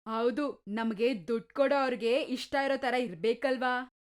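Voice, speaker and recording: A man voicing a greedy, scheming middle-aged stepmother in a high, soft falsetto. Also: moderate pace, clean tone. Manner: dismissive, sly. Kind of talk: storybook narration.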